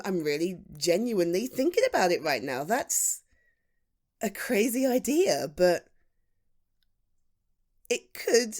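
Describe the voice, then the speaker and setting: Giggly British best friend, played by a woman, lively intonation. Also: medium pitch. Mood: thoughtful, musing. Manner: halting, giggly, conversational delivery. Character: playful, affectionate female best friend, giggly and teasing. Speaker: ASMR roleplay voice performer. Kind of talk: intimate, naturalistic audio roleplay addressed to the listener.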